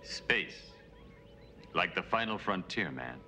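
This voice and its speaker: A man's voice: deep voice, animated, slow pace, sharp tone, soft background music, exaggerated voice. A man playing a laid-back beatnik starship captain in a comic sketch, drawling in hip slang. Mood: laid-back, spaced out. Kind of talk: comedy sketch parody.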